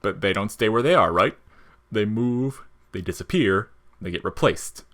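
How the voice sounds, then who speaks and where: A man's voice: on the low side, expressive, normal speed, fairly bright tone. A man as a wry, world-weary skeleton. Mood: casual, explaining. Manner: slangy, sardonic, explaining things casually. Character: wry world-weary skeleton monster, jolly front, bitter underneath.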